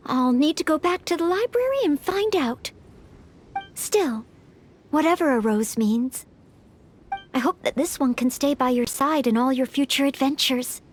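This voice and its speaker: Earnest high-voiced young maid. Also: female voice, slight background noise. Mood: earnest, hopeful. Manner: polite, earnest, gentle, measured. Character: earnest, modest young maid training for knighthood. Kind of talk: video game voice acting. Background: game music.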